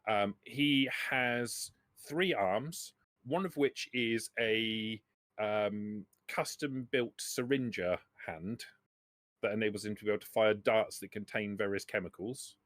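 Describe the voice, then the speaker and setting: A man running a tabletop roleplaying game as game master, narrating off the cuff in a halting, deliberate way. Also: on the low side, a bit bright, no echo.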